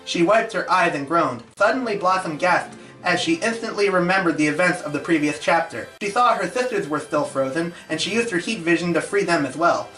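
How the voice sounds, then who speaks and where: A man's voice: on the high side, animated, a little fast, bright, forceful tone, soft background music, rough recording. A man reading fan fiction aloud and commenting on it as the show's host.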